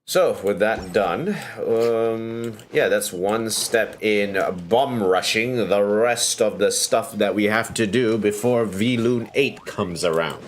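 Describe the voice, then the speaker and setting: A man's voice: lowish voice, takes it slowly, hardly any pauses. A man commenting casually on a game livestream, with hesitant, muttered reactions. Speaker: game streamer. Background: game music.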